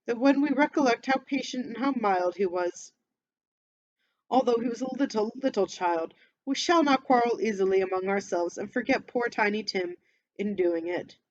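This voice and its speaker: A woman's voice: a little high, moderate pace, darkish tone, no room sound, close to an everyday voice. A woman voicing a humble, tender poor father in dull lo-fi audio.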